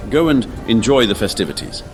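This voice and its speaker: A calm and commanding male warrior monk, voiced by a man narrator. The voice is fairly low and measured yet moves expressively, persuading gently in a space-fantasy story with faint music behind. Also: natural voice. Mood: calm, persuasive.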